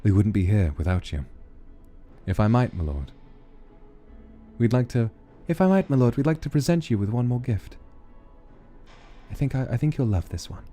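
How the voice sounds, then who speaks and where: A man's voice: medium pitch, animated, normal speed, pauses often, dark tone, soft background music, close to an everyday voice. A man voicing devoted, grateful clan members who speak reverently to their lord. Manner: reverent and formal.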